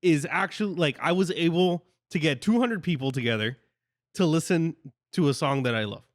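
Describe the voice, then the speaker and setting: A man's voice: a little high, animated, normal speed, dry recording, clean studio sound. A young man chatting casually and earnestly as a podcast co-host, recalling his own wedding. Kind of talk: casual chat.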